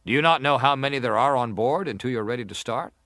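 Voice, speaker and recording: A man's voice: mid-range voice, a bit bright, dry recording, clean studio sound, natural voice. Man playing a probing senator, dryly recorded. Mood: insistent, pressing. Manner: deliberate, formal, pressing. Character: probing, formal, insistent senator questioning a witness. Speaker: actor reading an examining senator. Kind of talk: dramatised reading of hearing testimony.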